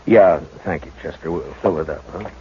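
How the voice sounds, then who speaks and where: A man playing a steady, stern frontier marshal who speaks calmly and with authority in a 1950s radio drama. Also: sits low, natural voice. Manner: calm, measured, authoritative. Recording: dull old radio recording with hiss.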